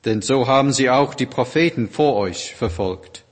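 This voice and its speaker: A man reading a Bible passage aloud in a solemn, measured way. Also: mid-range voice, expressive, in a large hall. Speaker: scripture reader.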